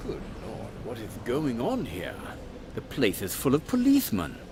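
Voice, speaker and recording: A man playing a pompous British army captain in a comic Victorian audio drama, gentlemanly and blustering. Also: mid-range voice, huge pitch swings, moderate pace, gravelly, heavy background noise. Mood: blustering surprise.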